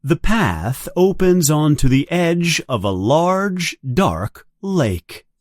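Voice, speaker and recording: A man narrating a read-along audiobook story in a deliberate, expressive manner. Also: fairly high pitch, dry recording, clean studio sound.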